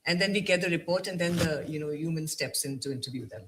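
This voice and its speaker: A man jumps into a panel discussion eagerly and uses a lot of fillers. His voice is fairly high for a man. There is faint noise behind him. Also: fast talker, a bit bright. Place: conference stage.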